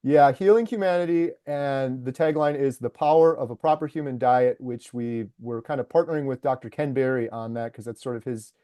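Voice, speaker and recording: Eager male documentary maker in an interview; mellow, slightly high, dry close sound. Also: brisk, hardly any pauses, no room sound. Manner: enthusiastic storyteller, lots of fillers like "like" and "uh". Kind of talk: casual interview conversation.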